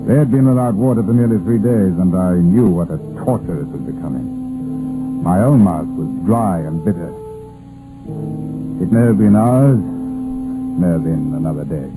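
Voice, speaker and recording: Police inspector, old radio crime serial. Very deep, measured, sombre, steady under strain. Scored with music. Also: male voice, low-quality recording. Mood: grim, reflective. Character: male police inspector trapped in a mine, steady, grim. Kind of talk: old radio crime drama, narration and dialogue. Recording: vintage 1950s radio broadcast, music behind.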